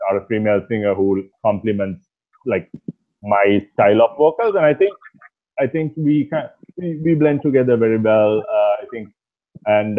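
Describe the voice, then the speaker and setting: A man in his thirties from Sri Lanka, a singer talking casually in an interview over a video call, with a rough voice and a relaxed, rambling way of speaking full of fillers. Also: on the low side. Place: small indoor room at night. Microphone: earphones on a video call. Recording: tinny phone-like call audio.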